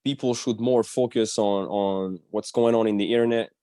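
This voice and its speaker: Mellow man, dry close sound. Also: on the low side, fairly even intonation, moderate pace, clear, resonant tone, no echo. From Switzerland. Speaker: podcast guest. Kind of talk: casual interview chat.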